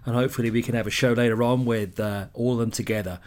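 A man's voice: mid-range voice, steady tone, quick pace, a little mellow. A man with an English accent hosting a solo podcast in a loose, chatty way, full of ums and uhs. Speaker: podcast host.

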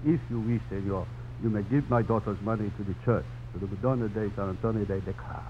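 A man's voice: on the low side, fast talker, close to an everyday voice. An actor playing a stern, courtly older governor and protective father in a formal radio drama style. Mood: courteous. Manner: formal, grave, ceremonious. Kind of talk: 1950s radio drama acting. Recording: dull, noisy old radio broadcast recording.

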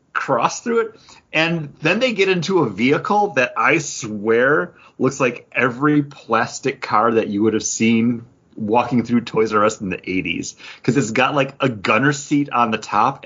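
Husky man in casual podcast chat. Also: mid-range voice, fairly bright tone, dull audio. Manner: rambling, peppered with "like". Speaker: podcast host. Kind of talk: casual comic book review chat.

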